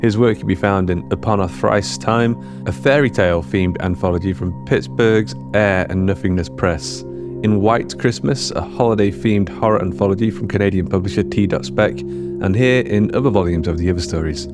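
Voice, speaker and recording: Low-voiced male podcast host. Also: normal speed, music in the background. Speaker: podcast host reading credits. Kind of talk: scripted podcast outro narration.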